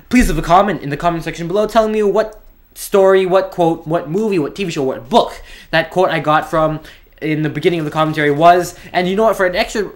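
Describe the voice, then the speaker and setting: A young man from Canada telling a story in a casual, rambling way, often restarting his sentences. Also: a little high. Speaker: vlogger.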